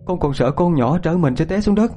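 A man's voice: animated, brisk, mellow, faint music behind, lightly acted. A man voicing a farmer's wife in a storytelling, an anxious young mother who stammers and pleads. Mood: tearful, worried. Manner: stammering, pleading, high-strung.

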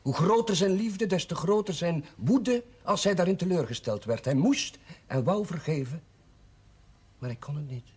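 An actor playing a kindly, persuasive village chaplain in a 1970s television drama, speaking in a measured, earnest way. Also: male voice, sits high, expressive, a little mellow, husky, exaggerated voice. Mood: solemn, pleading. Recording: noisy 1970s television soundtrack.

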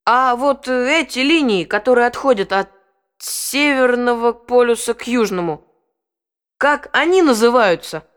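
An eager, pondering child with a high voice. The child reasons aloud and asks inquisitive questions in an educational audio drama. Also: female voice, expressive, unhurried, clean tone. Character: thoughtful, eager child learning from father.

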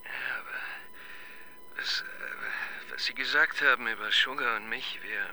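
A shy, awkward young police assistant, stammering and deferential, with a very bright, tense edge and a lively pitch. A faint hiss sits under the radio-play recording. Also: male voice, takes it slowly, full character voice, rough recording. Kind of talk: radio crime drama acting.

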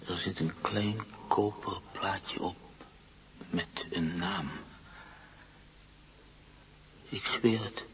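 An actor playing a brooding bachelor narrator in an intimate radio drama, halting and confiding, often dropping to a murmur. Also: male voice, deep voice, steady tone, fairly bright tone. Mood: entranced, halting. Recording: noisy radio play recording.

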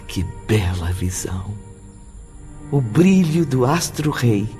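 An actor playing a gentle, reverent narrator in a radio drama, speaking in measured, poetic phrases. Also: male voice, fairly high pitch.

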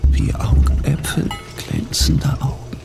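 A man narrating a literary interior monologue in a dramatic scripted style. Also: slow. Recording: loud music over the voice.

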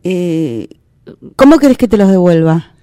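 A woman's voice: lowish voice, expressive, measured pace, mellow, smooth, dry recording, studio quality. A woman playing a hesitant, noncommittal character in an improvised radio theatre exercise. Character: hesitant, evasive woman asking for a loan.

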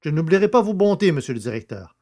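A man acts a good-natured poacher in a radio comedy. His voice sits a little high. He sounds artless and deferential, with a sly, cheeky streak. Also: very animated, fast talker, darkish tone. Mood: sly, cheerful. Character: cheeky, good-natured male country poacher, freshly freed prisoner. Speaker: actor in an audio drama. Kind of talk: French radio comedy acting.

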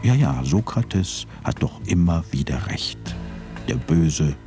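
A very deep, booming male voice narrates a crime radio play, sliding up and down with dry, wry asides. Music plays softly behind him. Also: very animated, slow, heavily acted.

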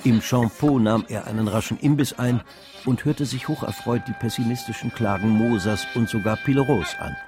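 A man's voice: medium pitch, normal speed, music in the background. A man reading scripted literary narration as the narrator of a radio drama.

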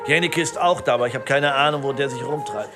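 A man's voice: medium pitch, fast, music in the background, natural voice. A man playing a chummy, cynical war-veteran policeman in a radio drama, jovial and needling in manner. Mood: hearty, comradely. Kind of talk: naturalistic radio drama acting.

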